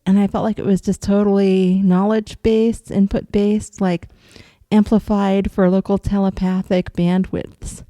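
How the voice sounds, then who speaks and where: A woman from upstate New York with a cold, casually telling viewers about an experience in a rambling way, with ums and soft laughs. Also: medium pitch, flat delivery, a little slow, dry recording. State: has a cold. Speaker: vlogger recounting astral travel.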